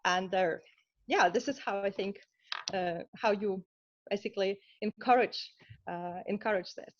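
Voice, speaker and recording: A woman from Lithuania with a Lithuanian accent, a scientist answering interview questions earnestly, with many pauses and fillers. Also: mid-range voice, dull audio, a little hiss or hum. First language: Russian. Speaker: scientist guest.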